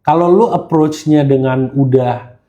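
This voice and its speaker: A man's voice: mid-range voice, unhurried, dark tone, dull audio. An Indonesian man in casual podcast conversation, talking slangily and slipping English phrases into his speech. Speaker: guest gemologist who hosts live streams.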